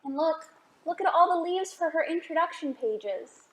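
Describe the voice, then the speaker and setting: A woman reading a picture book aloud for a children's storytime, expressive and often asking the listeners questions. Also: very high-pitched, normal speed, roomy sound. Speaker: library storytime reader.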